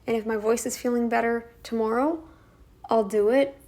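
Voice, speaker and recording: A young woman vlogging casually to camera, her voice croaky as she talks in halting, rambling sentences full of restarts. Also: fairly high pitch, fairly even intonation, darkish tone. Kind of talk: casual talking-head vlog.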